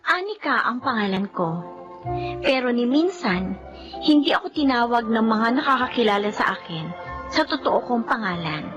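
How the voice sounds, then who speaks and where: An actress playing a vain, sharp-tongued young office woman who talks in a sarcastic, boastful way. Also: on the high side, expressive, normal speed, over music, natural voice. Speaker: office worker. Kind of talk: audio drama acting.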